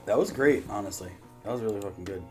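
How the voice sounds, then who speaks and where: Male reaction host, lowish pitch, highly animated, loose slangy chat over background music. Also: quick pace. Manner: slangy, swearing, excitable.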